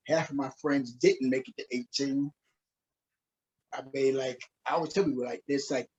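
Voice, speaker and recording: Husky New man, slightly muffled. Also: medium pitch, a little mellow. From Queens, New York, USA. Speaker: podcast guest, minister, author and coach. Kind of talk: casual interview answers. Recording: slightly dull, lo-fi call audio.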